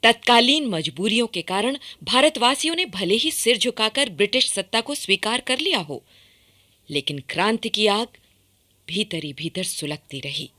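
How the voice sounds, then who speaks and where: Bright, expressive woman narrating formally. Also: mid-range voice, normal speed. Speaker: radio narrator. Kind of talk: scripted documentary narration for radio drama.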